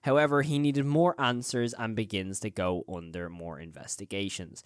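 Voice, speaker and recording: A man's voice: fairly low pitch, steady tone, normal speed, clear, resonant tone, no room sound, studio quality. A man presenting a scripted video essay as the host of a horror review channel.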